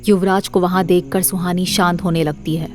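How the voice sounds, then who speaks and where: A woman's voice: lowish voice, little pitch movement, normal speed, darkish tone, faint music behind. A woman narrator reading a scripted horror story for an audio drama series.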